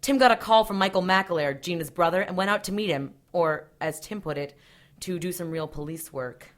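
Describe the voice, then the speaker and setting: A woman's voice: on the low side, fairly quick, close to an everyday voice. A woman playing a cool, capable police detective in an audio drama, matter-of-fact with a dry edge. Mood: irritated, decisive.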